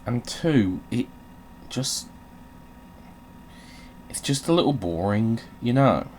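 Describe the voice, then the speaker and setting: A man's voice: mid-range voice, lively intonation, slow, a little mellow, slight background noise. A man giving casual, sweary commentary while playing a video game, in a wry, grumbling manner.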